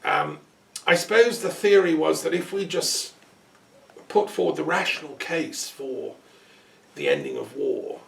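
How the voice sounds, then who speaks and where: A man hosting a relaxed interview, talking in a thoughtful, rambling way with asides. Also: fairly high pitch, measured pace, vocal fry. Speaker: host. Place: peace museum building. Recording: roomy sound from a distance, faint noise.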